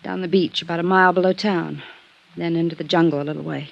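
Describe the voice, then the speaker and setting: An actress playing an earnest, guarded young woman in an old radio adventure drama, speaking in a soft, intimate manner. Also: on the low side, fairly even intonation, fast, creaky, lo-fi sound. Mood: guarded, helpful. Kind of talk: 1950s radio drama acting.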